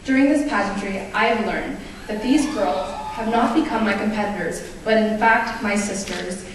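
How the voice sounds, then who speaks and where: A woman gives a slow, deliberate pageant answer, placing each phrase with care. She sounds distant from the mic in a strongly echoing theatre with faint noise. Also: medium pitch. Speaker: pageant candidate. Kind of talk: answering an onstage question. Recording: echoey theatre from far off.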